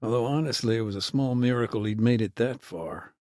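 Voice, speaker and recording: An actor playing a weary, earnest humanitarian worker recounting a war in a measured, hesitant way for a video game. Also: male voice, mid-range voice, a little mellow, no room sound, studio quality, close to an everyday voice. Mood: somber, weary. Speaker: humanitarian aid worker being interviewed. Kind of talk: naturalistic video game dialogue, interview recollection.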